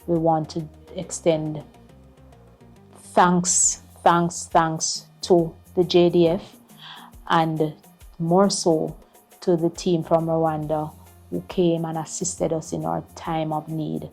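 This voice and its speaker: A woman's voice: low-pitched, flat delivery, takes it slowly, gravelly, a little mellow, soft background music, poor audio. A middle-aged woman, a school principal giving earnest interview answers and repeating words as she finds them. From Jamaica.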